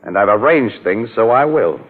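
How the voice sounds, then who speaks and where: A man's voice: lowish voice, lively intonation, moderate pace. An actor playing a shrewd, confident newspaperman sleuth in 1940s radio drama style. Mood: confident, accusing. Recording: narrow old radio recording, faint music.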